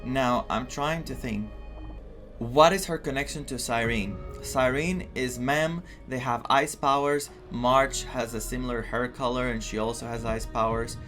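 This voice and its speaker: A young man from Greece comments casually on a game he is streaming. He rambles and thinks aloud in a mid-pitched voice, with clear music playing behind. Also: fairly even intonation, unhurried, a bit bright. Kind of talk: casual playthrough commentary, reading dialogue aloud.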